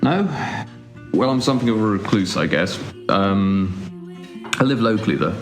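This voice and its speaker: Man acting a grouchy recluse, animated pitch. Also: measured pace, soft background music. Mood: awkward, polite. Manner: stammering, dry sarcasm. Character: grouchy, reluctant male recluse, sarcastic and awkward. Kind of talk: audio drama acting, conversational.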